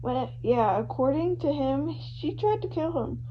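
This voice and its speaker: A woman's voice: high voice, lively intonation, unhurried. A woman voicing an eager male true-crime show host, telling the case in an emphatic, conversational way that builds suspense. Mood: amused, emphatic. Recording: muffled lo-fi home recording with hum.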